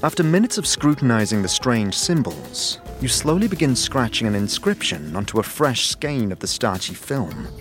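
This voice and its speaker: A man's voice: mid-range voice, very animated, moderate pace, few pauses. Male fantasy narrator over music. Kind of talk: scripted second-person fantasy audio drama narration.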